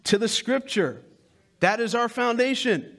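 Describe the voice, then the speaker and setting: A man in his thirties preaching a sermon on a church stage through a headset mic, with loud, emphatic lines and hushed asides. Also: very high voice, expressive, unhurried. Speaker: pastor.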